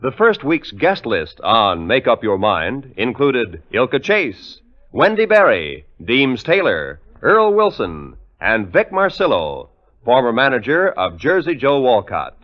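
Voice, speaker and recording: A male 1950s radio announcer reads in a formal, scripted style. His mid-pitched voice comes through a dull, aged broadcast recording. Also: wildly swooping pitch, unhurried. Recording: muffled vintage radio recording.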